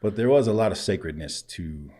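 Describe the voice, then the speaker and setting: Deep-voiced man telling stories. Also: expressive, a little fast, mellow. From Samoa. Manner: laughs often while telling stories. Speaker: podcast guest. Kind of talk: casual conversational storytelling.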